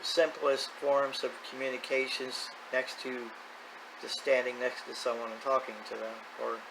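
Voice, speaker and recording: A man's voice: medium pitch, level tone, normal speed. A middle-aged or older man talking in a casual, unscripted, plain-spoken and folksy way as the host of a hobby video. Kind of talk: casual unscripted vlog monologue. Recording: clear background noise, ambient sound.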